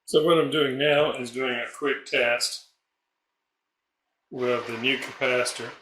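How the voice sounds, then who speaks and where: Male repair hobbyist, mid-pitched, halting and casual, thinking aloud in an echoey room with light background noise. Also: steady tone, fairly bright tone. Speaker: radio repair hobbyist and narrator. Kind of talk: casual hands-on repair demonstration talk. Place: indoors at a repair bench. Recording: roomy echo, background noise, small clicks.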